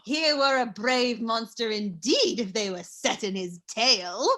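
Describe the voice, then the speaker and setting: A woman as a squeaky, tipsy jester. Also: measured pace, bright, forceful tone. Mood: tipsy, mocking. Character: tipsy, cowardly, mocking jester. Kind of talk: Shakespearean radio play acting.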